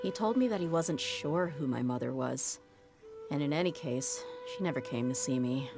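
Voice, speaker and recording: Brief: a candid, deep-voiced female sellsword who speaks plainly. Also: lively intonation, moderate pace, music in the background. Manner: frank, wry, matter-of-fact. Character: tough young female mercenary, blunt and candid. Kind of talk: video game cutscene dialogue.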